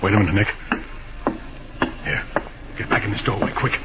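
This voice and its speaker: An actor playing an anxious, secretive banker, urgent and insistent, in 1940s radio drama style. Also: male voice, on the low side, normal speed, very noisy, lightly acted. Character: anxious, secretive banker in trouble, adult man. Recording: tinny, narrow-band old radio recording.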